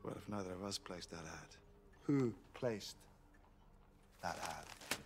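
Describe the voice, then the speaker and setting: A man's voice: low voice, expressive, slow pace. An actor in his fifties playing a newly changed older male time traveller who talks in a blunt, awkward, abrupt way, in scripted TV drama. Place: period restaurant set.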